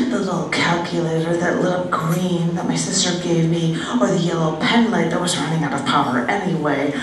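A middle-aged woman giving an expressive, conversational reading of a personal narrative poem at an open mic. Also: fairly low pitch. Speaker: poet. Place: indoor room at a poetry open mic. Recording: echoey, noisy room, distant-sounding live recording.

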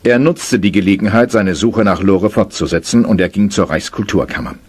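A man's voice: fairly low pitch, fairly quick, darkish tone, breathy edge. A man narrating a radio drama in a scripted storytelling style.

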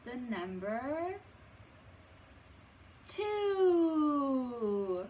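A woman in her thirties presenting a children's storytime as a librarian, talking simply to small children with drawn-out words and thoughtful hums. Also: high voice, sing-song, a little mellow. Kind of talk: children's storytime teaching, read-aloud. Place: indoors in a library.

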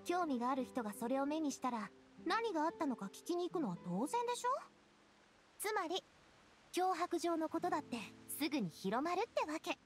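A woman's voice: sits high, very animated, brisk, soft background music. An actress playing a confident, breezy young lady in an anime-style game, casual, direct and knowing. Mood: knowing, teasing.

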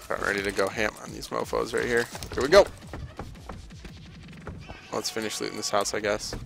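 A young man giving casual, playful and excitable live commentary while he plays a video game. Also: on the low side, brisk, gravelly, music in the background. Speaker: game streamer. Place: indoor room at a streaming desk.

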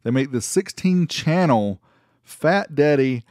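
A man's voice: on the high side, expressive, slow pace, a little mellow, dry recording, studio quality. A man narrating a casual product review in a conversational, folksy way. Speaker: host of an amplifier review channel.

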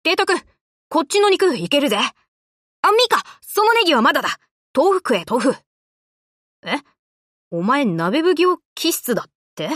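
A woman voicing a brash, tomboyish young warship girl in an anime game, talking in rough, cocky, casual boyish slang. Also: sits high, very animated, unhurried, pauses often, a bit bright, no room sound, clean studio sound, heavily acted. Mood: cheerful. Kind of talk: Japanese anime game voice lines.

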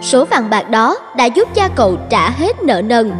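Lady reading a kids fairy tale, high voice, lots of reverb, soft music. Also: very animated, a little slow. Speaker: narrator. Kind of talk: scripted children's story narration. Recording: long reverb, faint music behind.

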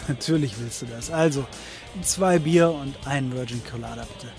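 Sardonic male private eye played by a man, medium pitch, world-weary, audio comedy, music underneath. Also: normal speed, darkish tone, lightly acted. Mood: wry. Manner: dry, sardonic. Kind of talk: occult comedy audio drama acting.

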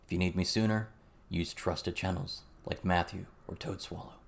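A narrator, a man, plays a serious, guarded man in an audiobook. He speaks low and measured, explaining things calmly without warmth or fuss. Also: vocal fry. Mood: terse, calm. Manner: measured, matter-of-fact.

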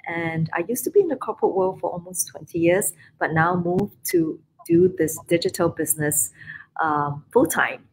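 A chatty Singaporean woman in her forties hosts a live video-call podcast with an upbeat, filler-laden flow. Her voice sits a little low, and a faint hiss hangs behind the webcam sound. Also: expressive, normal speed, rough recording. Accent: Singaporean English. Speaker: podcast host. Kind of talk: casual live-stream chat. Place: room abroad, indoors, video call.

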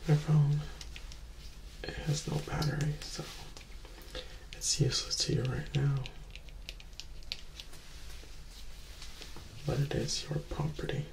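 A man in his twenties playing a charismatic, slyly menacing young vampire in a soft-spoken, whispered ASMR roleplay, teasing and hushed. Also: a little high, flat delivery, creaky, echoey room, noisy background. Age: 20s. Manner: slow, hushed, teasing, with lingering pauses. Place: small indoor room or hallway, close to camera.

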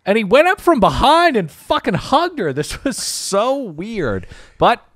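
Animated sardonic man on a podcast mic. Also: high-pitched, measured pace, dry recording, studio quality. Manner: sardonic, animated storytelling with crude jokes. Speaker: podcast host. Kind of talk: casual comedic recap chat. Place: small living-room podcast studio. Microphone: studio mic on boom arm, headphones.

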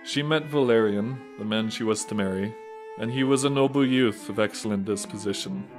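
A man's voice: on the low side, a little fast. A man reading scripted narration of a saint's life as the narrator of an audio drama. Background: plucked guitar music.

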